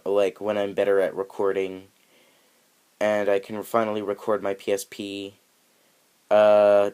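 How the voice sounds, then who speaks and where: A man with a fairly low voice talks through a video game review in a loose, rambling way. He hesitates and corrects himself often. His voice sounds slightly nasal from allergies, and he records on a handheld camera. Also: darkish tone. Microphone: handheld camera mic.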